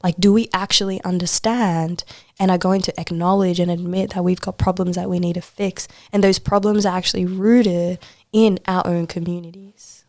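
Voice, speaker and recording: Low, even-toned woman, close and dry. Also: normal speed, dry recording. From Australia. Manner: informal and emphatic, repeats phrases for stress, frequent rhetorical check-ins. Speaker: podcast guest, poet and social worker. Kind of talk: casual interview conversation.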